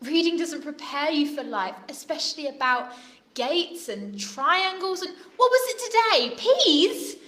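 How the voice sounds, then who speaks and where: A woman's voice: high voice, lively intonation, a little slow, a bit bright. A woman playing an exasperated, worried mother who nags and scolds in an audio drama. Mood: exasperated, scolding. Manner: exasperated, nagging, dismissive of fairy tales. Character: worried, scolding, practical mother of a dreamy son. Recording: echoey room.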